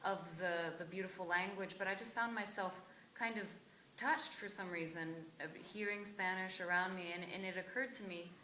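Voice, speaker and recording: A woman's voice: medium pitch, fairly even intonation, moderate pace, pushed and bright, slight background noise. A woman from Brazil, a cast member, giving an earnest personal reflection at a theatre talkback. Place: theatre auditorium. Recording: echoey theatre, distant mic.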